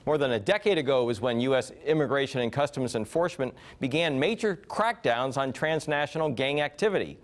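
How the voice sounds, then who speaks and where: An American man delivering a local television news report as a reporter. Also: medium pitch.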